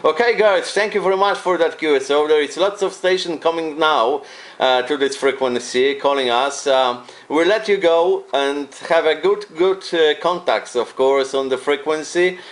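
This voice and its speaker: A man's voice: fairly high pitch, normal speed. Polish-accented man, hesitant radio operator. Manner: hesitant, repeats phrases; friendly, polite. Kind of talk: casual amateur radio contact.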